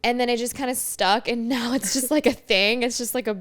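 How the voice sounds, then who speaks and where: Chatty young woman, smooth voice. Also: a little high, steady tone, brisk, fairly bright tone. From France. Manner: chatty, upbeat, lots of "like". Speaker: podcast guest. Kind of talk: casual chat.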